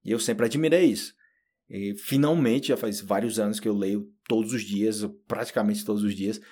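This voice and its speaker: A man from Brazil with a Brazilian Portuguese accent, giving a casual spoken lesson and slowly repeating phrases to demonstrate. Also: lowish voice, warm tone, husky. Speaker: Portuguese teacher.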